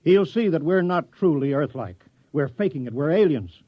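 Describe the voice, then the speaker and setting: A bossy, officious mayor in an old radio play. He explains himself impatiently, with big swings of pitch. The recording is dull and dry, like vintage radio. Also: expressive, normal speed, no room sound. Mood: officious, insistent. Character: bossy, fussy small-town colony mayor, officious and impatient. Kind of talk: 1950s radio comedy drama acting.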